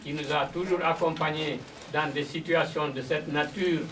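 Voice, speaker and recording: A man acting as master of ceremonies at a memorial in a community hall. He speaks in a formal, measured and courteous way. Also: fairly high pitch, steady tone, a bit bright. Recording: distant mic, clear room noise.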